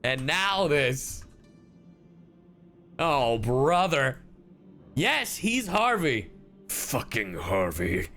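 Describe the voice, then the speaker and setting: A man's voice: medium pitch, sing-song, very slow, long pauses, pushed and bright. A man doing live game reaction commentary, swearing freely and bantering in exclamations. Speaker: streamer and voice actor. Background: game music.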